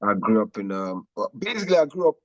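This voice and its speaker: A man's voice: lowish voice, very animated, fast talker, dry recording. Laid-back young Nigerian-born man, dry sound. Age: young adult. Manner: laid-back, slangy, laughs often. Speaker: guest musician. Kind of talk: casual interview chat.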